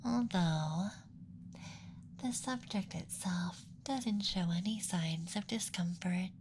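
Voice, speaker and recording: A man voicing a gentle, curious alien examiner in a whispered ASMR roleplay, clinical yet coddling and playfully condescending. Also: high-pitched, slow pace, slight background noise. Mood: soft, clinical, coddling.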